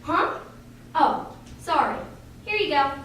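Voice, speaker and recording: Brash, high-voiced young woman, acted, very echoey. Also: animated, slow pace, a bit bright, heavily acted. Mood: gushing, brash. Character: brash, gushing, gold-digging young party guest. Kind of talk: amateur radio play acting. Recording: very echoey room, distant mic, noisy.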